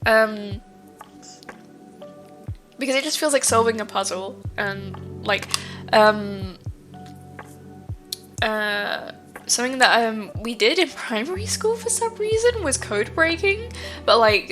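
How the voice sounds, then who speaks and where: A woman streamer talks loosely to her chat, full of ums and likes, with a stuffed-up nose. Her voice sits a little high and sounds bright and crisp. Faint music plays underneath. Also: lively intonation, slow pace, pauses often. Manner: rambling, many fillers, swears casually. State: stuffy nose from hay fever. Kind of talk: casual chat with viewers.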